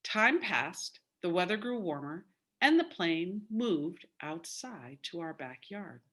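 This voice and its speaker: A woman in her sixties telling a story theatrically, with deliberate, dramatic emphasis. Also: lowish voice, big pitch swings, fairly bright tone. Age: 60s. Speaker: storyteller at a speaking club.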